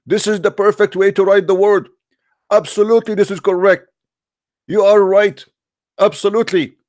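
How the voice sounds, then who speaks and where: A man's voice: sits high, steady tone, moderate pace, darkish tone, no room sound, studio quality, voice pushed up, lightly acted. A man voicing a flustered male schoolteacher who stammers and nervously over-agrees.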